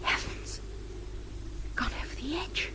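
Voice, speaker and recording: A woman's voice: slow, very noisy, close to an everyday voice. An actress playing an anxious but willing Englishwoman on the run in a radio drama, earnest and questioning. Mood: subdued. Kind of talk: BBC radio drama acting.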